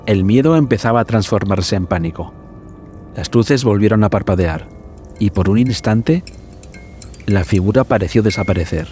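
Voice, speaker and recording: A man narrating a scripted suspense horror story for a podcast in a dramatic, measured way. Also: on the low side, a little mellow. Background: background music.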